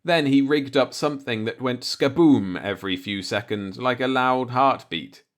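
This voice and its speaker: A man reading a short story aloud as an audiobook narrator, in a measured storytelling manner. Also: medium pitch. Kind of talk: scripted narration, read-along short story.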